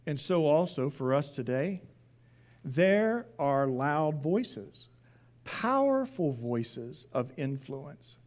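A man preaching a sermon as a pastor, emphatic, with deliberate pauses, repeated phrases and questions put to his listeners. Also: fairly high pitch, very animated, darkish tone.

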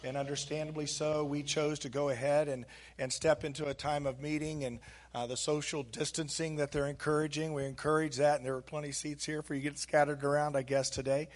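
A hoarse male pastor welcomes his church in a loose, filler-laden way. His voice sits slightly higher than most men's. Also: level tone, fairly quick. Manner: casual, with frequent fillers. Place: church sanctuary.

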